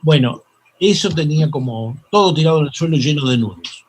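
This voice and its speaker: An older Argentine man with a Rioplatense accent, a psychoanalyst telling stories in an interview, halting with many fillers and restarts. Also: fairly high pitch, animated, husky. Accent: Rioplatense Spanish. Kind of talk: reflective interview storytelling.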